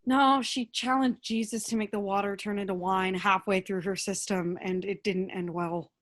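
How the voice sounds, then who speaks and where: A woman's voice: medium pitch, steady tone, normal speed, lightly acted. A woman acting an earnest, persuasive, devoted friend in a staged reading of a comic play. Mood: exasperated, insistent.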